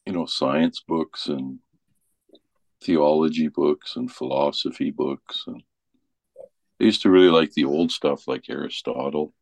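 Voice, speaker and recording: A man's voice: very deep voice, measured pace, gravelly, darkish tone, no room sound. A middle-aged man in casual, informal chat, rambling along in a folksy way. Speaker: radio show presenter.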